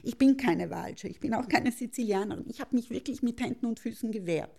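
A middle-aged woman from South Tyrol with a South Tyrolean accent, speaking reflectively as an interview guest in searching, halting phrases. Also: fairly high pitch, gravelly, warm tone. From South Tyrol, Italy.

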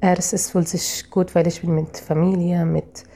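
A young woman with an Arabic accent, a piano student answering interview questions in a halting way, searching for words. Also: on the low side, level tone, warm tone. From Palestinian town in Israel.

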